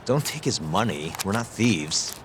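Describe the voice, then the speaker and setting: An actor playing a cool, principled lawyer-detective in a video game cutscene, with a dry, wry manner. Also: male voice, sits low, sing-song, a little slow, noisy background. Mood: calm.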